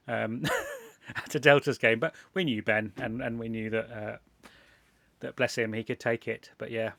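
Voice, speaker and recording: A man's voice: medium pitch, gravelly, fairly bright tone, dry recording. A man from England hosting a casual chat, rambling with frequent restarts and laughter. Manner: rambling, self-interrupting, laughs often. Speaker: podcast host.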